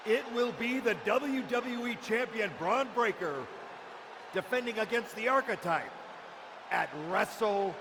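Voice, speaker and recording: A firm male wrestling boss with a high, commanding voice. He makes deliberate in-ring pronouncements in a video game, with clear arena noise around him. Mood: stern authority. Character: firm male wrestling authority figure announcing a match.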